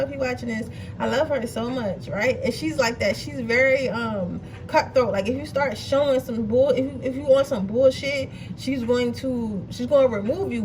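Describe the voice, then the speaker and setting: A woman giving a casual tarot reading, chatty and blunt, swearing freely and checking in with her viewers, her nose slightly stuffy. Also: high-pitched. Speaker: tarot card reader. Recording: distant mic with noisy background.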